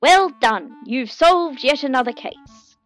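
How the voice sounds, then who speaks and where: A woman's voice: fairly high pitch, lively intonation, a little slow, soft background music. Woman doing a blustering police captain. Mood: congratulating.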